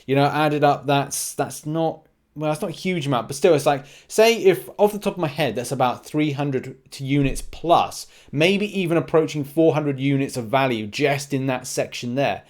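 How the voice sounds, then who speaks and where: A man with a slightly high-pitched voice hosts a gaming update. He speaks casually and off the cuff, rambling a little as he thinks aloud. His commentary often breaks into short, clipped asides. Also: moderate pace, a little mellow. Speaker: gaming video host. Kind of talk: casual unscripted game news commentary.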